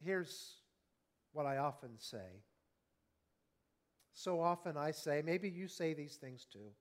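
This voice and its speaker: A man in his fifties preaching an evening sermon, earnest and conversational, often asking the congregation questions and slowing down on key words. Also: fairly high pitch, animated. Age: 50s. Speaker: pastor.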